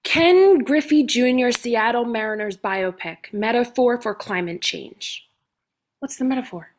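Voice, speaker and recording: A woman playing an imperious, sharp-tongued older comedy star, snarky and commanding, in a comedy table read. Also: a little high, lively intonation, a little slow. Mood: withering.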